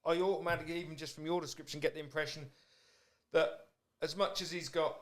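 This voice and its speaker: Encouraging man hosting a podcast, slightly high voice. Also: fairly even intonation, fast talker. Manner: encouraging, reflective, frequent "yeah" backchannels. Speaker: podcast host. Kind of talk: casual conversation.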